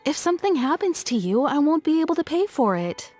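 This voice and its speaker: A firm, practical woman employer in a video game. Her high voice is very animated, blunt yet apologetic, with faint music underneath. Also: brisk. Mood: dismissive. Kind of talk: acted video game dialogue.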